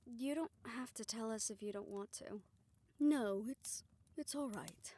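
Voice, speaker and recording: A woman performing a scripted audio roleplay, voicing several characters in a soft, hushed way that often drops to a whisper. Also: fairly high pitch, measured pace.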